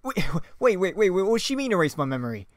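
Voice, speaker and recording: A man's voice: high voice, sing-song, fast talker, dry recording, clean studio sound. A man voicing a confused, anxious young human in an amateur roleplay.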